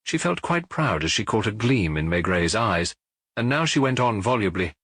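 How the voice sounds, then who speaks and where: Male narrator, husky, animated intonation, dramatic detective storytelling, scripted audiobook, dry sound. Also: fairly low pitch, moderate pace, no echo.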